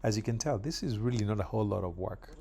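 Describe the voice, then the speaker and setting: Gravelly, dark-voiced Kenyan man vlogging. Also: fairly low pitch. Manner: relaxed, chatty, frequent filler pauses. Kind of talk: casual yard-work vlog narration. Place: outdoors in a yard.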